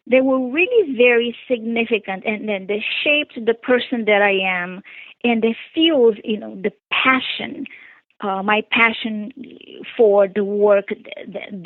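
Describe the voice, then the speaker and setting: Slow, earnest Brazilian-accented woman on a phone line. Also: a little high, dry recording. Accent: Brazilian Portuguese. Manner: earnest, deliberate, repeats key phrases. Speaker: author and guest. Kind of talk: radio interview. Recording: dry tinny phone line.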